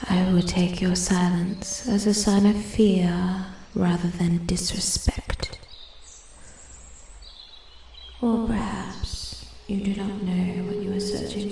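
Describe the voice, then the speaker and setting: A woman voicing an ancient female forest spirit in soft-spoken ASMR roleplay, breathy and often whispering, formal, intrigued and quietly menacing. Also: lowish voice. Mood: musing, condescending. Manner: slow, deliberate, archly formal. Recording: echoey, with steady ambient forest noise. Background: forest ambience, birds and animal sounds.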